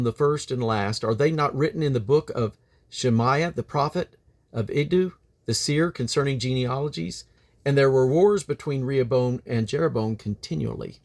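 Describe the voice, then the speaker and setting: A man reading from the King James Bible in a solemn, deliberate manner, with emphatic phrasing and drawn-out endings. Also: medium pitch, darkish tone. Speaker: Bible reader.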